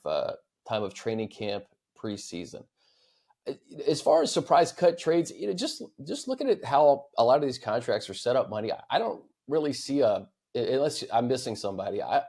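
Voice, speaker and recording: Male host for fan Q&A sports show: average pitch, relaxed phrasing, conversational, reasoning out loud. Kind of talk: casual sports talk.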